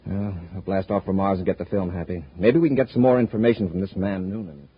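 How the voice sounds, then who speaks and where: Fast, commanding old-radio space commander. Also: male voice, low-pitched. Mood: businesslike, deliberating. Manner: crisp, authoritative, clipped. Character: brisk, commanding space patrol commander-in-chief. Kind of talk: 1950s radio serial acting. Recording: muffled, hissy 1950s radio recording.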